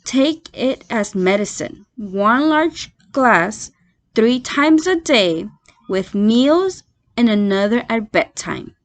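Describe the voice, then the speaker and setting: A woman's voice: fairly high pitch, very animated, takes it slowly. A woman voicing a jovial badger who loves cider in a children's story, savouring the words. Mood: relishing.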